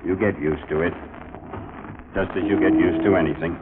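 An actor playing a grim, cryptic cemetery watchman in a 1940s radio mystery, speaking in a measured, ominous calm. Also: low-pitched, phone-quality sound, lightly acted. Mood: calm, insistent. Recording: old 1940s radio broadcast, thin, faint noise.

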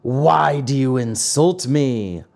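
A man's voice: fairly high pitch, sing-song, takes it slowly, darkish tone, slightly rough, pitched above the performer's own voice, heavily acted. A man voicing a dapper, hot-tempered little old man in a storybook, easily offended and emphatic. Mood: indignant. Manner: indignant, emphatic, swooping.

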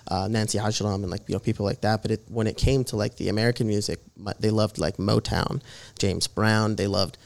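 This is a young man in his twenties, originally from Jordan, talking as a guest on a podcast. His voice sits low and carries a gravelly, creaky edge. He rambles casually, stringing thoughts together with plenty of fillers. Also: steady tone, a little fast. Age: 20s. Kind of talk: casual interview chat.

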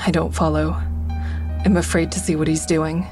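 Casting: actress for guarded female trauma survivor, lower register, introspective audio-drama narrator, music underneath. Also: flat delivery, normal speed, lightly acted. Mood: tense, fearful. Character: Haven, traumatized woman survivor narrating, fearful, guarded, hardening. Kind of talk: first-person narration and dialogue in audio drama.

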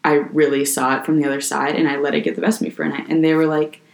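A young woman with a deep voice for her age, a little hoarse from a sore throat. She talks in a loose, chatty way, full of "like" and restarts, as a podcast co-host. The room has a noticeable echo. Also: flat delivery, very fast. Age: young adult. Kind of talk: casual chat. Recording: echoey room.

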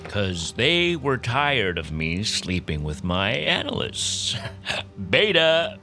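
An actor playing a smug, condescending male boss in an audio drama, suspicious and self-absorbed, with a halting way of talking. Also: lowish voice, very animated, bright, forceful tone, faint music behind, full character voice. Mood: giddy mockery. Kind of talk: naturalistic audio drama acting.

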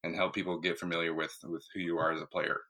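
A man's voice: deep voice, level tone, quick pace, bright, forceful tone. A man working as a podcast interviewer, asking questions and commenting in a casual sports-talk style.

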